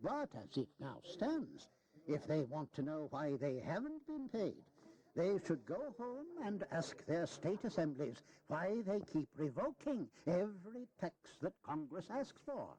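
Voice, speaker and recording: A high-pitched, animated old statesman in a historical audio drama. He is wily and philosophical, swooping through emphatic, deliberate oratory like a seasoned political persuader. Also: low-quality recording. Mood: persuasive.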